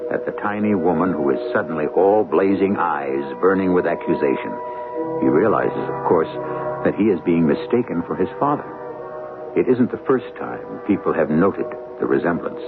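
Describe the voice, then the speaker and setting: Old-time radio host: grave, low-voiced storyteller, suspenseful delivery, thin sound over music. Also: male voice. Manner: dramatic, measured storytelling. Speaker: host and narrator. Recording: narrow-band old radio, music behind.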